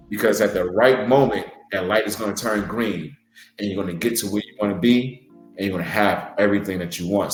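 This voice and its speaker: A man's voice: on the low side, echoey room, low-quality recording. A man who wrestles, co-hosting a podcast and telling a motivational story in an earnest, heartfelt way. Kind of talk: casual podcast chat.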